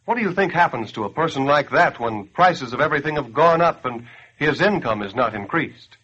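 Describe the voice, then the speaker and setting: A man's voice: mid-range voice, animated, a little fast, pushed and bright, husky. An American man announcing in 1940s radio style, delivering a scripted appeal in an earnest, declamatory, persuasive way. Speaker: radio announcer and campaign spokesman. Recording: dull grainy 1940s radio broadcast.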